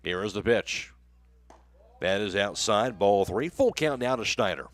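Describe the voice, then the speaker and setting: A man's voice: fairly low pitch, lively intonation, unhurried. A man with an American accent doing live radio play-by-play commentary of a baseball game as the announcer.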